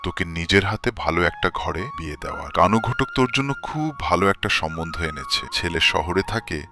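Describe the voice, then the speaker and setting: A man voicing a gentle, anxious middle-aged village father in a cartoon, speaking in a coaxing way. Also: deep voice, lively intonation, quick pace, music in the background. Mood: tender, coaxing. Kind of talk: Bengali cartoon voice acting.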